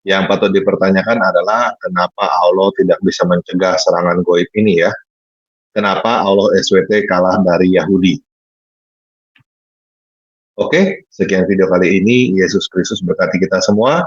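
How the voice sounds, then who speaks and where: A man's voice: low voice, fairly even intonation, normal speed, slightly rough, dry recording, dull audio. A man narrating a scripted news report.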